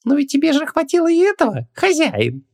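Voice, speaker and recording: A man voicing a tiny, mischievous genie in a squeaky, piping voice, cheeky and whiny, for a storybook. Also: huge pitch swings, fairly quick, vocal fry, a little mellow, full character voice. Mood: embarrassed, defensive.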